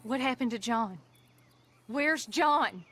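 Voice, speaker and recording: A woman's voice: expressive, takes it slowly, fairly bright tone, faint music behind, heavily acted. An actress playing a frantic young mother in a video game cutscene, speaking urgently and pleadingly. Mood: panicked. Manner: urgent, pleading, shrill in distress.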